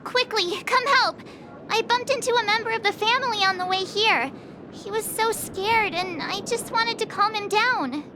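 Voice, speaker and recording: A woman's voice: very high-pitched, normal speed, noisy background. A woman voicing a breezy young female game character, chatty, bemused and teasing. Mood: exasperated. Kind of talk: video game voice acting.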